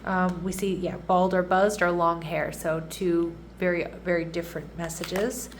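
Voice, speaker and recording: A woman's voice: fairly low pitch, level tone, unhurried, a little hiss or hum. Congested, nasal-sounding woman reading tarot. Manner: chatty, checks in often with the audience. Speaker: tarot reader. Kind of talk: casual tarot reading monologue.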